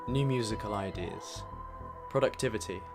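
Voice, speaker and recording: A man with a fairly low voice narrates a scripted personal recap. His delivery is measured and reflective, and music plays clearly underneath him. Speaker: vlog narrator. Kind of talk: scripted year-in-review narration.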